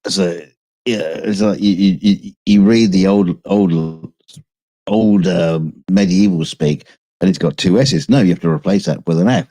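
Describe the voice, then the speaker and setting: Guy on a podcast, low warm voice, keeps restarting his sentences. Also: measured pace. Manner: rambling, stammering restarts, laughs at own jokes. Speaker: podcast co-host. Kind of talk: casual chat.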